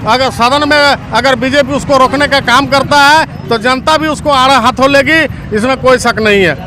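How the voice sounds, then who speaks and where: A man's voice: very high-pitched, normal speed, a crowd in the background. A man with a Jharkhand accent speaking as an activist in a political interview, earnest and speech-like. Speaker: activist, movement leader. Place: outdoors at a road junction. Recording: noisy outdoor roadside recording. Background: loud traffic and vehicle noise.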